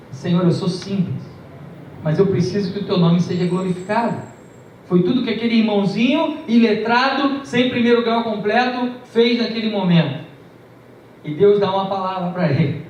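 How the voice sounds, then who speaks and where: A man's voice: high-pitched, slight background noise. A man preaching a sermon, telling stories emphatically with a shifting pace. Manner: storytelling, emphatic, varying pace. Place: large church hall. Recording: distant, echoey, muffled church recording.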